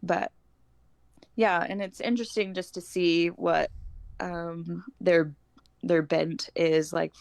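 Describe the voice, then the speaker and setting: A woman's voice: on the low side, fairly even intonation, slow, vocal fry, pauses often, dry recording. A woman, chatting casually as a guest on a homeschooling podcast. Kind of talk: casual chat.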